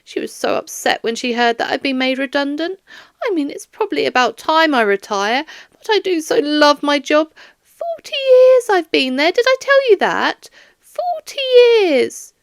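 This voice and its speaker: A woman's voice: high-pitched, sing-song, moderate pace, vocal fry, dry recording, clean studio sound, voice pushed up, full character voice. A woman voicing a flustered, doting older woman who works at a museum, in a rambling, plaintive and baffled manner, for an audiobook. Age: 60s.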